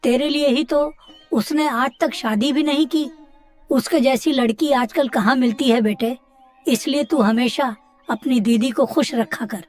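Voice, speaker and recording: A caring village uncle from a cartoon story, acted by a woman talks in a fairly high voice. He advises like a father and moralises gently, over soft background music. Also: unhurried. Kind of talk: dramatised cartoon story dialogue.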